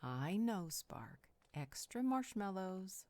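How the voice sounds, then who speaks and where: A woman's voice: medium pitch, very animated, slow pace, dark tone, no echo. A woman playing an affectionate, teasing, soothing vampire healer in an intimate audio roleplay. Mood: warm, cheerful. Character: warm, playful, caring female vampire healer.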